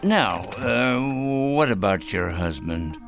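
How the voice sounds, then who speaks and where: An actor in a radio drama playing an obsessive, self-righteous crank, accusing and condescending, with pompous, fussy diction and muttered repetitions. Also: male voice, medium pitch, animated, takes it slowly, gravelly, over music. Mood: oily, condescending.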